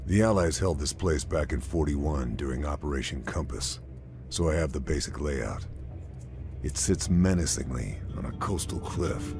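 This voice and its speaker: A man playing a grim, calculating lone army sniper who narrates his mission in a measured, restrained way. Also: a bass voice, vocal fry. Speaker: protagonist narrator. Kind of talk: scripted mission briefing narration. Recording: music behind the voice.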